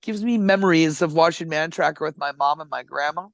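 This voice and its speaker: A man's voice: a little high, fairly quick, creaky, no echo, studio quality. A man with an Albertan Canadian accent, co-hosting a podcast in crude, casual chat, swearing freely and laughing often. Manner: profane, laughs often, animated storytelling. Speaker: podcast co-host.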